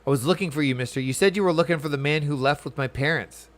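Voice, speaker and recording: A man's voice: fairly high pitch, quick pace, natural voice. A man voicing a hopeful, eager small child in a fantasy game, with simple, childlike speech. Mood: hopeful, anxious. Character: hopeful small orphaned child looking for parents.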